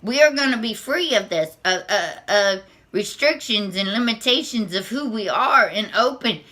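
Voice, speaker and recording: Squeaky, bright-voiced nervous woman. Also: expressive. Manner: nervous, halting, many restarts and repeated words. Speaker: spiritual tarot vlogger. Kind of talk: unscripted spiritual monologue to camera.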